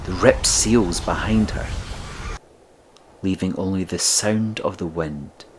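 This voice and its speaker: Low, slow male drama narrator. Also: slight background noise. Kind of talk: scripted audio drama narration.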